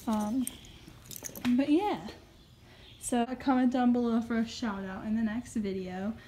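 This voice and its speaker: Chatty woman vlogging at a barn, mellow voice. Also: a little high, slight background noise. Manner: chatty, giggly, quick asides. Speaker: horse vlogger. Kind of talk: casual vlog chat to camera. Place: at a horse barn.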